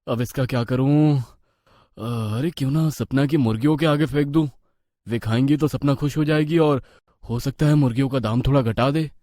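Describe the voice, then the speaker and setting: A man's voice: medium pitch, moderate pace, dark tone, dry recording, clean studio sound, natural voice. A man voicing a greedy, scheming street food seller in a cartoon moral story, theatrical and full of exclamations. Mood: dismayed. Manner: exclaiming, theatrical, talks to himself. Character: greedy, scheming male biryani seller, wheedling and defensive. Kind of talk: animated Hindi cartoon moral story acting.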